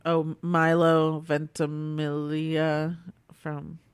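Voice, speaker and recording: A woman's voice: low-pitched, completely flat delivery, extremely slow, smooth, no room sound. A sick woman with a nasal, congested voice, co-hosting a casual podcast and rambling chattily through movie reviews. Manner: chatty, rambling, laughs often. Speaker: podcast co-host.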